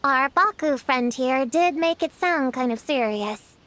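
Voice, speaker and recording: A woman's voice: high-pitched, animated, measured pace, fairly bright tone, slight background noise. A woman voicing a precocious, knowing child in a video game, articulate and matter-of-fact. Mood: knowing, dry.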